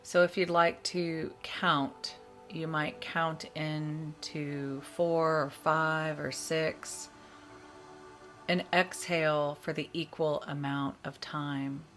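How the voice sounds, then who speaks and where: A woman leading a guided meditation, giving soothing, measured instructions with long pauses between phrases. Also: low-pitched, little pitch movement, a bit bright. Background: meditation music.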